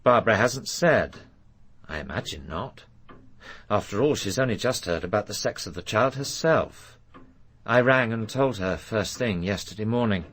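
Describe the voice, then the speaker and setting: An actor playing a self-assured English doctor in a radio drama, polite and dry, with a coolly evasive manner. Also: male voice, on the low side, expressive, normal speed, a bit bright, slightly rough.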